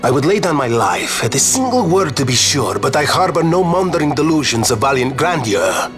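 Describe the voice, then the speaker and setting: An actor playing a suave nobleman in a video game, speaking in an eloquent, formal and measured way. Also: fairly high pitch, big pitch swings, fairly bright tone, faint music behind. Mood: earnest, courtly. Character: suave, courtly male nobleman, earnest and wry. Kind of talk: video game cutscene acting.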